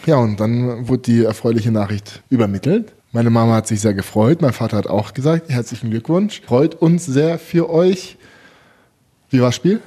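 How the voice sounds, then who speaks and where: A man's voice: mid-range voice, normal speed, dark tone, breathy edge. Young guy, handball player, chatty, laughs at his own stories, talk show guest. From former Soviet Union. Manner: chatty, laughs often, self-mocking. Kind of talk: casual talk-show chat.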